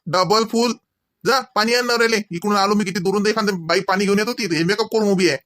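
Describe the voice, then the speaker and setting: A man's voice: high voice, quick pace, a bit bright. A man voicing a wisecracking, mock-bossy young husband in a comedy cartoon, full of teasing banter, with a Varhadi Marathi accent.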